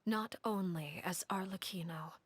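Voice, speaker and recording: An actress playing a cold, controlled head of a crime family in a video game, speaking in a measured, formal and calmly menacing way. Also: female voice, lowish voice, breathy edge, no echo. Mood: solemn, composed. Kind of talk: dramatic video game dialogue.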